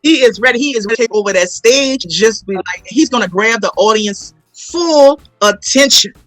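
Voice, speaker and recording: A woman's voice: on the high side, lively intonation, normal speed, a bit bright, no echo, soft background music, poor audio. A woman, chatting casually as an interview guest and telling stories in an emphatic, repetitive way. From New Orleans, United States. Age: 40s. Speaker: guest, playwright and stage actor. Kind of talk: casual promotional interview chat.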